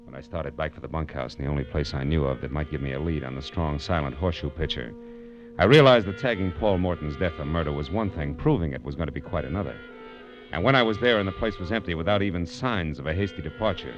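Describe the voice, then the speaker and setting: A hard-boiled American private eye with a low voice and a rapid clip. He is dry, slangy and sardonic, in classic 1940s radio detective style, on a dull vintage recording. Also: expressive, over music. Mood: wry narration. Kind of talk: 1940s radio detective drama acting with first-person narration.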